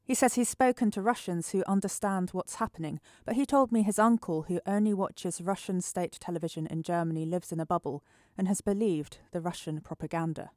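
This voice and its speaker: A woman's voice: lowish voice, brisk, hardly any pauses, a little mellow, dry recording, studio quality. A woman news reporter delivering a scripted voice-over report.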